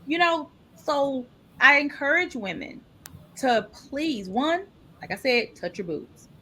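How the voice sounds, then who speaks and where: A woman's voice: high voice, sing-song, slow, sharp tone. A woman guest speaking candidly and animatedly in an interview about her own experience. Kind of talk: casual interview talk.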